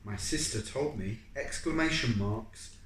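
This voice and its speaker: Parent reading to child, animated storytime, slow pace, coaxing questions, echoey room, faint background noise. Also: medium pitch. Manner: expressive, dramatic, coaxing. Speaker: parent reading a picture book to a young child.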